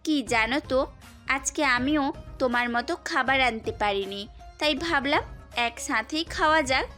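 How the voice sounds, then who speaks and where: A woman's voice: sits high, a little slow. A woman playing a caring, insistent university teacher in an audio story, with music underneath. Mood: insistent, kind. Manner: concerned, gently bossy. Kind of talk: Bengali audio story acting.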